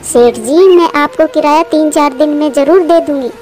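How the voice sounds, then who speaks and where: A woman's voice: high voice, moderate pace, a little mellow, soft background music, natural voice. A woman voicing a poor widowed mother bird in a cartoon, humble and plaintive. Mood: pleading. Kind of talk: Hindi cartoon dialogue.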